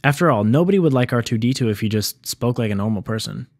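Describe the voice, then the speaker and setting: A man's voice: medium pitch, animated, fast, dry recording. Man narrating, very dry close sound. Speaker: video essay host on game sound design. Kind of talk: scripted explainer narration.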